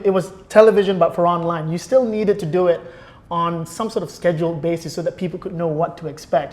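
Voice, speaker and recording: A man's voice: a little high, darkish tone. A man speaking as an interview guest, giving articulate, explanatory answers in a conversational style.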